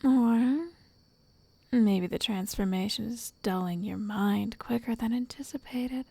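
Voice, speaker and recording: A woman voicing an obsessive, lovestruck witch in an ASMR roleplay, soft and whispery, coaxing with possessive endearments. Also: on the high side, slow, gravelly, slightly rough, no echo, full character voice. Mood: patient, controlling.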